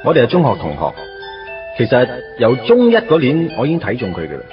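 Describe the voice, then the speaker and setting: A man's voice: mid-range voice, huge pitch swings, fairly quick, far from the mic. An actor playing a wistful man in his late twenties pining for his first love in a radio drama, speaking Cantonese with a Hong Kong accent in a nostalgic, storytelling way. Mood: nostalgic, wry. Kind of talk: radio drama acting, first-person narration. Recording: dull lo-fi radio recording. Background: music.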